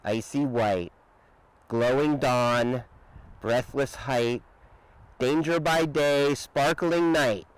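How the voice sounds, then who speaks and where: A man reading a children's picture book aloud with expressive, dramatic emphasis and drawn-out words. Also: medium pitch, clear, resonant tone, no room sound, poor audio. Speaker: storybook reader.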